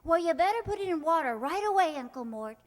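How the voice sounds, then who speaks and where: Heard in an echoey hall, a woman plays an innocent, affectionate young niece in an old-time radio comedy. Her very high voice is lively and theatrical, full of wistful sincerity. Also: quick pace. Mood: excited, concerned. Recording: echoey hall with long reverb.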